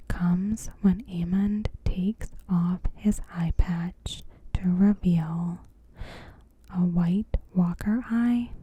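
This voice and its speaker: A woman whispering softly in an ASMR style, reading scripted commentary in a hushed, unhurried, breathy voice. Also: lowish voice. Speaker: ASMR host.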